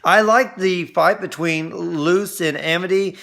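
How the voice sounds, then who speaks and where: A man reviewing an episode in casual chat, explaining things earnestly and haltingly as he goes. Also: high-pitched, vocal fry, fairly bright tone.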